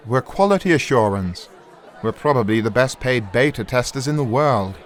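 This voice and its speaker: A man's voice: medium pitch, animated, moderate pace, a faint crowd, lightly acted. A man playing a flamboyant, cocky lead programmer who teases his old colleague in an audio drama. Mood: teasing, cocky. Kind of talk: audio drama character dialogue.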